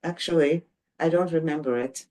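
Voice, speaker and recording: An older woman taking part in a writing group, chatting casually in a hesitant, self-deprecating way with halting restarts. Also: low-pitched, steady tone, mellow. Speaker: workshop participant.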